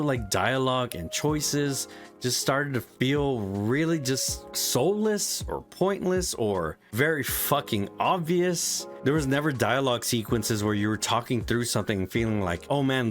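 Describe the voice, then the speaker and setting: A young man giving a frank, casual spoken game review, swearing freely, with faint music behind him. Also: mid-range voice.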